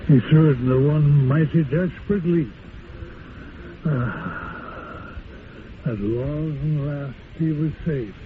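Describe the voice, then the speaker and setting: A man in his nineties speaks slowly and haltingly, with a few chuckles and an old-fashioned turn of phrase. The sound is thin and tinny, like an old tape, with clear hiss behind. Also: mid-range voice. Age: 90s. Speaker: interview guest, former radio actor. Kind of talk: casual interview, reciting an old radio bit.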